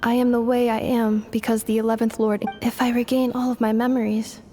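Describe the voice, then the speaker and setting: A woman voicing a calm, earnest android maid in a video game cutscene, speaking in a plain, measured, matter-of-fact way. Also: fairly high pitch, soft background music, lightly acted.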